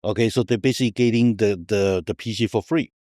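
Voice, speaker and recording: A man's voice: lowish voice, steady tone, quick pace, dark tone, dry recording, studio quality. A middle-aged man from Taiwan, explaining things emphatically as a guest in a conversational podcast interview, often checking that he is followed. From Taiwan, raised partly in Argentina. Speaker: interview guest, tech entrepreneur.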